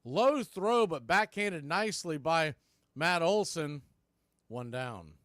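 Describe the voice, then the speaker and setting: Male play-by-play announcer, slightly high register, clipped live commentary, very dry studio-close sound. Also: lively intonation, slow, a bit bright, no echo.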